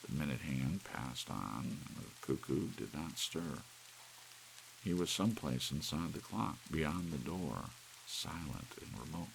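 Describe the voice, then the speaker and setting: A man reading a story as an ASMR audiobook narrator, with soft rain and a light hiss behind him. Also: rumbling low, expressive, measured pace, vocal fry, low-quality recording.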